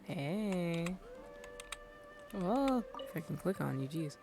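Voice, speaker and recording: A woman's voice: on the low side, sing-song, takes it slowly. A woman giving casual live gameplay commentary as a streamer, informal and slangy, reacting to the game. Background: game music.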